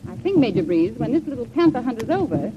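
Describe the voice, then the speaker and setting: Lively high-voiced adventurous woman. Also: fast talker. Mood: thoughtful, grave. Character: shrewd adventurous woman, composed, thoughtful, intrigued. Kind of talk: 1930s radio adventure serial acting. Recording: muffled, very noisy old radio recording.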